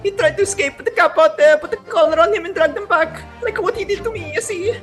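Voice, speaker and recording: A woman's voice: very high-pitched, fast, soft background music. A woman playing a game with friends, giggly and making jokes. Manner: giggly, jokey. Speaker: game player. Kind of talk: casual let's-play chat.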